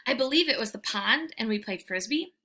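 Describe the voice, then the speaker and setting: Woman as an irritable, scoffing golden retriever, bright voice. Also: on the high side, expressive, brisk. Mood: bitter.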